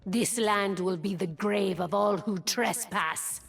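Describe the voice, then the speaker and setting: Game huntress: unhurried, commanding, fierce pack mother of a jungle tribe, mid-pitched, menacing. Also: creaky, faint music behind. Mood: fierce, resolute. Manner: deliberate, commanding, menacing delivery. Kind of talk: video game character voice lines.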